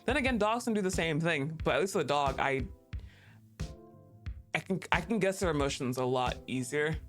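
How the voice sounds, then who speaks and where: A woman's voice: sits low, animated, normal speed, faint music behind. A woman streaming a game, chatting casually, laughing often and swearing now and then. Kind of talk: casual gameplay commentary.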